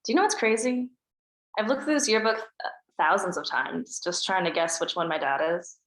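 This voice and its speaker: A woman reading a grieving teenage girl at a table read, soft and subdued. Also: fairly low pitch, normal speed, reverberant, natural voice, low-quality recording. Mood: subdued grief.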